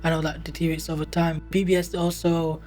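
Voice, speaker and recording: A man in his early twenties talking hesitantly in a documentary interview, with halting phrasing and frequent restarts. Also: a little high, level tone, darkish tone, soft background music. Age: 20s. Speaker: interviewee, university student.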